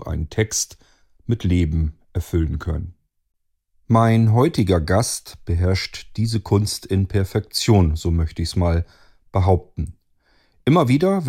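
Tiefer, weich klingender Moderator. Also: male voice, sits low, dark tone. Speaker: interviewer and show host. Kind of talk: prepared radio introduction and interview questions.

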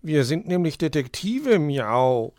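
A man's voice: a little high, big pitch swings, slow pace, darkish tone, no echo, studio quality, voice pushed up. A man voicing an eager little cat detective who meows, in a children's story. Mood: matter-of-fact. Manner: adds meows to sentences.

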